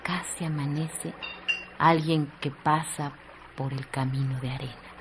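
A woman narrating a radio drama in a lyrical, measured way. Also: low-pitched, steady tone.

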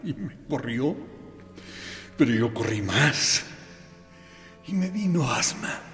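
Guy doing intense earnest uruguayan kid in a radio play, slow and emphatic, reverby with music behind. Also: mid-range voice, big pitch swings, a bit bright. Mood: earnest, hurt. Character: earnest, intense boy recounting small heartbreaks and grudges. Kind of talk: dramatic monologue in a radio play. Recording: long reverb, music bed underneath.

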